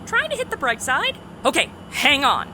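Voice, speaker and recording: A woman playing a breezy, wisecracking young superheroine in a comic audio drama, flippant and animated. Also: sits high, normal speed, very noisy. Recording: music behind the voice.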